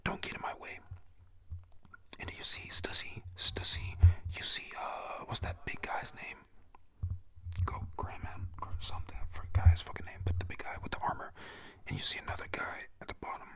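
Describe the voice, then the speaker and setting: A young adult whispering a rambling, excited play-by-play recap at home, full of fillers. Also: normal speed. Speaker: anime episode recapper. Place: at home with family nearby.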